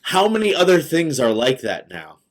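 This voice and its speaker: A man's voice: fairly high pitch, huge pitch swings, moderate pace. A man of thirty talking in casual, slangy conversation as a podcast co-host.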